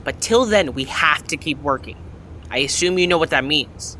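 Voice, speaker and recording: Woman as a bright-voiced bossy cartoon creature. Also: sits low, animated, normal speed. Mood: bossy, scornful. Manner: bossy, sarcastic. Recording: faint background noise.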